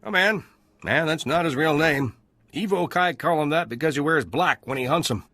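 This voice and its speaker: A man's voice: a little high, moderate pace, bright, forceful tone, dry recording. A man playing a breezy, cynical salvage dealer in a video game, with casual, wry, chatty delivery. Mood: dismissive. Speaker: salvage trader character.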